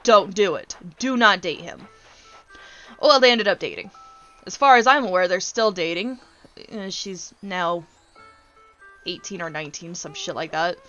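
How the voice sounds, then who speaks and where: A woman's voice: fairly low pitch, lively intonation, a little slow, long pauses, bright, forceful tone, muffled recording, soft background music. A woman in her twenties ranting casually on a gaming stream, blunt, exasperated and sweary as she tells stories. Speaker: streamer, VTuber host.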